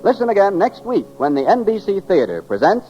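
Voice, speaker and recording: A man's voice: sits high, sing-song, a little fast, dark tone. An American man reading scripted announcements as a 1940s radio announcer. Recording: muffled 1940s radio, music behind.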